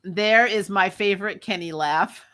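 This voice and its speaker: A woman's voice: mid-range voice, measured pace, a crowd in the background. A woman chatting casually and sardonically as co-host of a TV review, often giggly and salty-tongued. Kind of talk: casual chat, fan review banter.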